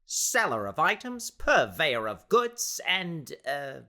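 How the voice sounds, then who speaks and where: A man's voice: a little high, very animated, bright, forceful tone, pitched above the performer's own voice, heavily acted. Man acting out a grandiloquent, slick showman merchant, swooping theatrically. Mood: pompous, amicable. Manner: theatrical, amicable, drawn-out salesman patter. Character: grandiloquent, leering huge merchant in his mid-thirties, slick showman.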